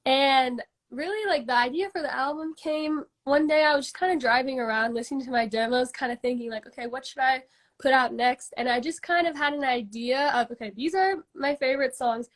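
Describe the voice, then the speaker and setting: A woman's voice: sits high, fairly quick. A woman in her twenties with a congested voice, chatting casually as an interview guest, full of "like" and "um" fillers. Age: 20s. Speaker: singer-songwriter guest.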